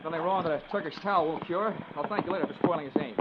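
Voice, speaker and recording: An American actor playing a tough, wry insurance investigator who narrates his case in clipped, deadpan 1940s radio detective style. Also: a little high, very fast, heavy background noise, lightly acted. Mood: brisk, commanding. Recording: dull vintage radio recording with music.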